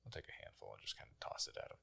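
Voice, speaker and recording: A man's voice: rapid-fire, pushed and bright. A man playing a tabletop game, chatting casually about dice rolls and his character's actions.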